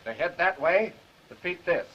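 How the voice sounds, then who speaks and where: A man's voice: high voice, expressive, moderate pace, husky. An actor playing a plain, matter-of-fact male police officer in a 1930s film. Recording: dull, faintly noisy 1930s film soundtrack.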